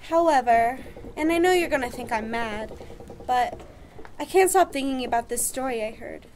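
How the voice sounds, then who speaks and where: A woman playing an excitable, anxious young newlywed bride in a radio horror play. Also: high-pitched, normal speed, a little hiss or hum, natural voice. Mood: uneasy, fascinated. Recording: music under the voice.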